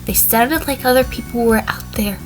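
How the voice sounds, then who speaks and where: A woman's voice: fairly high pitch, moderate pace, soft background music, natural voice. A woman playing a defiant, evasive defendant testifying in an audio drama, prickly with hesitant stammers. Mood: recounting, uneasy. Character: defiant, evasive female defendant on the witness stand. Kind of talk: audio drama courtroom acting.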